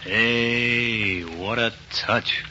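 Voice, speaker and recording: An actor playing a cocky, affectionate small-time crook, sweet-talking in slang in a 1940s radio crime drama. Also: male voice, medium pitch, very slow. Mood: boastful delight. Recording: dull, noisy 1940s radio recording.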